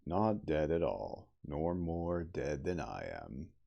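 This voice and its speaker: A man playing a gruff, gravelly tipsy man. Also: very deep voice, big pitch swings, warm tone, dull audio, full character voice. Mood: grim. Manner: slow, grunting. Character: dull-eyed, tipsy man, grim and gruff.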